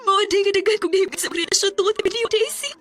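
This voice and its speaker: Radio drama lead: lovelorn young mother, Cebuano Filipino accent, piercingly high, melodramatic, background music. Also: quick pace, fairly bright tone. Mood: anguished. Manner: melodramatic, pleading. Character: emotional, lovelorn young woman, betrayed single mother. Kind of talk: Bisaya radio drama acting.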